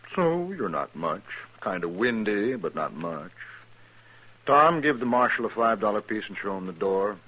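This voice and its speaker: Smirking outlaw gunman, very low husky voice, slow mocking drawl. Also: big pitch swings, bright, forceful tone, full character voice, low-quality recording. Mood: taunting. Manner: smooth, taunting, drawling. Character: cocky smirking male gunslinger, menacing. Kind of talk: 1950s radio drama acting.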